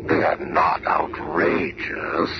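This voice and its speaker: An actor playing a masked, bitter, gloating supervillain with pompous, formal diction in an old-time radio superhero drama. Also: male voice, flat delivery, slow pace, exaggerated voice. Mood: triumphant. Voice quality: very deep, gravelly. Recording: muffled, very noisy old radio recording.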